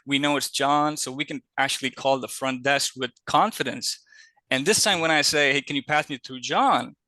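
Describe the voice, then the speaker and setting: Bright, forceful man with a dry sound. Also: mid-range voice, moderate pace, no echo. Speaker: sales team member explaining cold-calling. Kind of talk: casual instructional talk.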